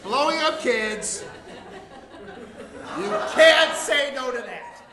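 An actor playing a theatrical, needy, taunting evil-genius spy in a live comic play reading, bombastic and petulant. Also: male voice, very high voice, animated, slow, crowd noise, heavily acted. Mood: gleeful, menacing. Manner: bombastic, petulant, gleefully menacing. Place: live performance in a room. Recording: roomy live recording with background noise.

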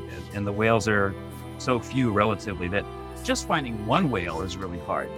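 A man, a documentary filmmaker, musing thoughtfully about his work with small repeats. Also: fairly low pitch. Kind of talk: reflective interview talk. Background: background music.

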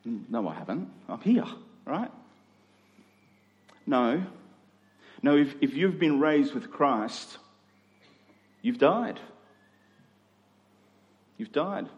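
A man preaching a sermon as a pastor, swinging between emphatic and hushed delivery with rhetorical questions. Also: mid-range voice, big pitch swings, very slow, pauses often, muffled recording. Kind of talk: sermon to a congregation. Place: large reverberant church hall. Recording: long hall reverb.

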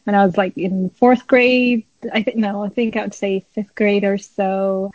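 A woman from Ethiopia, around her thirties, telling her personal story casually as a podcast guest, pausing often as she gathers her thoughts. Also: medium pitch, steady tone, darkish tone. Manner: hesitant, many pauses while gathering thoughts. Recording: slightly dull, muffled lo-fi audio.

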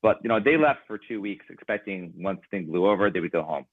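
Deep-voiced man on a tinny line. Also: lively intonation, fast, no echo. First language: Ukrainian. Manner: thoughtful, rambling with fillers. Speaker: band member guest. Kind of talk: casual interview conversation.

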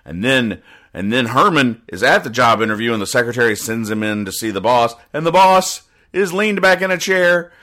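Halting deadpan man with a bright voice. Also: medium pitch. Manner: rambling, halting, deadpan explaining. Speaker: podcast host. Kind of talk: casual solo commentary, explaining comic strips.

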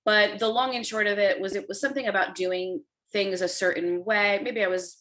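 A woman's voice: mid-range voice, steady tone, moderate pace, fairly bright tone. A woman hosting a podcast interview, enthusiastic and meandering, restarting her sentences. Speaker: podcast host. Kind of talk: casual interview chat.

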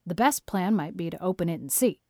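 A woman's voice: lowish voice, a little slow, no echo, clean studio sound. A woman voicing a stern, reserved older woman who speaks curtly and grimly. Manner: curt, grim delivery.

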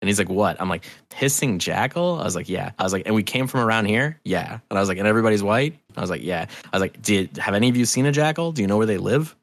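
A man being interviewed, telling stories in an animated, rambling, sweary and self-deprecating way. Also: on the low side, fast talker, few pauses, dry recording. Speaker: interview guest, festival promoter. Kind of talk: casual storytelling interview.

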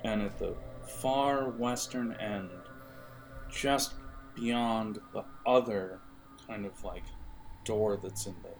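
A man with a fairly low voice acts as game master, improvising descriptions of a scene. He picks his way through phrases haltingly, with music playing clearly behind him. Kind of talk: improvised narration of a tabletop game.

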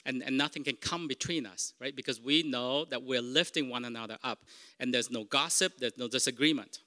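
A man's voice: mid-range voice, a little fast, sharp tone. A middle-aged man whose first language is Vietnamese, preaching an informal sermon in a conversational, self-correcting way and checking in with the congregation. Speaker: pastor.

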